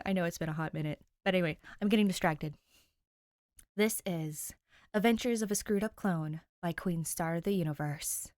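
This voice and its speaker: Woman, mid-pitched voice, informal and bubbly, expressive story reader, very dry sound. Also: no room sound. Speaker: host and reader of a fan fiction podcast. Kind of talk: casual intro chat and expressive fiction narration.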